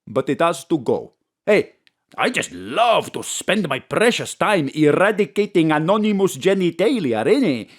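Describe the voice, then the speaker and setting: An actor playing a cheeky middle-aged café owner who banters with a lively lilt. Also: male voice, on the high side, a little slow. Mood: grumbling. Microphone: home studio mic.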